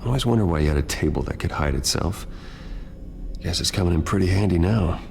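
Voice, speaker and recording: A wry billionaire vigilante from a video game, speaking privately with an ally. His voice is exceptionally deep with a gravelly fry, low-key and dryly friendly, over faint music. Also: expressive, fast talker, a little mellow. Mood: calm, warm.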